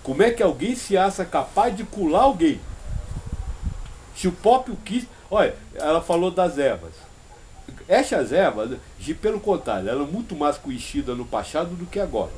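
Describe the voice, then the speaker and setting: A man's voice: on the high side, sing-song, moderate pace, a little mellow, a little hiss or hum, poor audio. A Brazilian man leading an informal spiritual discussion, answering with emphatic repetition and rhetorical questions. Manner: emphatic, repeats phrases, uses rhetorical questions.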